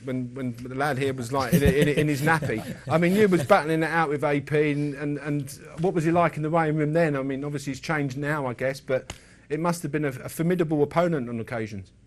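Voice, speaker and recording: A man hosting an informal sports interview, speaking haltingly and repeating words as he frames his questions. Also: fairly high pitch, fairly even intonation. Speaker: interview host.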